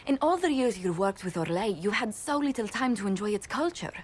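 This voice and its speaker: An actress playing a refined, gracious diplomatic adviser in a video game, with formal, precise, polite phrasing. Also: female voice, mid-range voice, lively intonation, a little fast, lightly acted. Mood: shyly hopeful. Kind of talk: video game dialogue acting.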